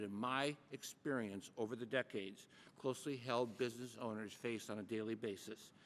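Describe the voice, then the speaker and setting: An older man from Michigan, a tax lawyer giving measured, formal and courteous testimony before a committee in a large hearing room. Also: medium pitch, a bit bright, long reverb tail, a little hiss or hum. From Michigan, USA.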